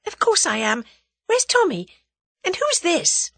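A woman's voice: very high-pitched, huge pitch swings, unhurried, vocal fry, fairly bright tone, no echo, voice pushed up, heavily acted. A woman voicing a spirited, inquisitive young woman adventurer in an audiobook, with an eager, questioning manner.

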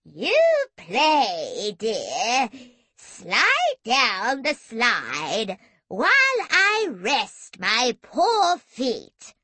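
A bossy old granny superwoman from a children's storybook. Her voice quavers and drags slowly, with a bright, forceful edge. She sounds placid yet menacing, and it is recorded dry. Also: fairly high pitch, huge pitch swings, breathy edge, dry recording, exaggerated voice. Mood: placidly menacing. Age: older. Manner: slow, drawn-out, placid.

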